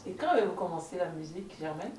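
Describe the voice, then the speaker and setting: A woman's voice: fairly low pitch, animated, quick pace, dark tone, slight background noise. A woman presenter introducing guests and asking them short interview questions.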